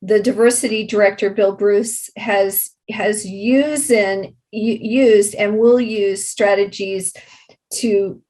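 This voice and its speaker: A woman with a mid-range voice speaks at a very measured pace. She lays out her answers methodically, point by point, like a project representative addressing a panel. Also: mid-range voice, fairly even intonation, a little mellow, low-quality recording. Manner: methodical, enumerates points step by step. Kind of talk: explanatory panel discussion.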